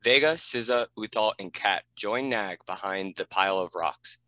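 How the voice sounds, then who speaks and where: A man's voice: lowish voice, moderate pace, bright, forceful tone, no echo. A man reading scripted stage directions as a narrator.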